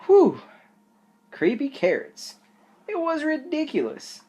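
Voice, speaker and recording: A storyteller voicing an excitable, easily frightened young boy rabbit from a picture book. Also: slow pace, exaggerated voice. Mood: relieved, dismissive. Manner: shrill, yelling in alarm.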